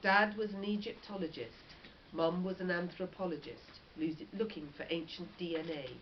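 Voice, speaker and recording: A woman's voice: on the low side, moderate pace. A woman reading a children's story aloud expressively, like a teacher. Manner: animated, with short explanatory asides. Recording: dull lo-fi audio with faint noise.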